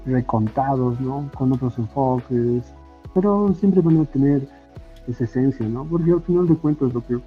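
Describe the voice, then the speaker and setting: A man with a Bolivian accent talking casually as a podcast guest, halting and often ending phrases with a questioning tag. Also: medium pitch. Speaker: podcast guest, comic artist and illustrator. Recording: muffled lo-fi audio with music. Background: background music.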